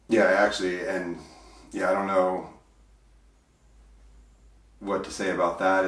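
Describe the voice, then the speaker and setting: A man with a big, deep voice, speaking as an interview guest in a reflective, hesitant way, full of fillers and restarts. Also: fairly bright tone, recorded from a distance. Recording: roomy, voice from off mic.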